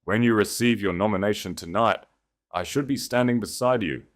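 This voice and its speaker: A man's voice: lowish voice, normal speed, voice pushed up, natural voice. A man voicing a proud, wounded wife and heiress in an audiobook, speaking with restraint and control. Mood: hurt, restrained. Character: wounded wife turned cold, commanding heiress.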